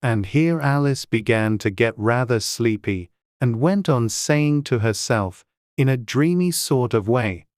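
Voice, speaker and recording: An audiobook narrator reading a children's story in a measured, deliberate storytelling way. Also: mid-range voice, expressive, darkish tone, no echo, clean studio sound.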